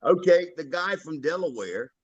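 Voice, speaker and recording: A man talks bluntly and emphatically, full of slang. His voice sits a little above a typical man's pitch. The recording is dry and close-miked. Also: animated, normal speed, husky, no echo, lo-fi sound. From North Carolina, US. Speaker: guest, tribe member. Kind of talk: casual chat.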